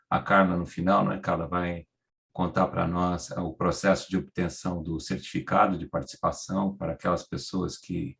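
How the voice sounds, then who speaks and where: A man with a Brazilian accent giving an informal welcome at a webinar, halting and searching for words as he speaks. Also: low voice, gravelly. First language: Portuguese. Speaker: program director and webinar speaker.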